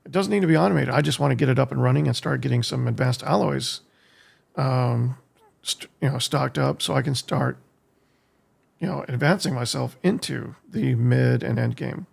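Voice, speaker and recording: An American man streaming a game, giving casual live commentary in a halting way as he thinks aloud, with a gravelly, husky voice. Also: mid-range voice. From United States. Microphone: streaming desk microphone.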